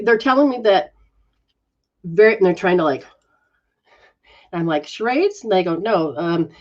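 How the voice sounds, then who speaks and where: A woman giving a live spiritual reading and healing session, coaching softly with repeated phrases. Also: mid-range voice, highs cut off. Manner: soft, coaching, repetitive phrasing. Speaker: metaphysical practitioner giving readings.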